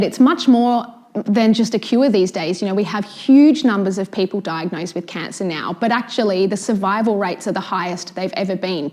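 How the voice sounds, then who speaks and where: A woman's voice: mid-range voice, darkish tone. A woman with an Australian accent giving articulate interview answers as a researcher. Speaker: cancer researcher, panel guest.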